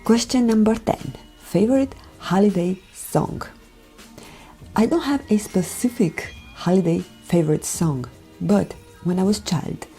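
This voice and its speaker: Romanian-accented woman, soft and slow, mellow lowish voice, music under the voiceover. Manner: soft, slow, careful delivery, often near a whisper. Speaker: beauty vlogger. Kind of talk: voiceover over a makeup tutorial.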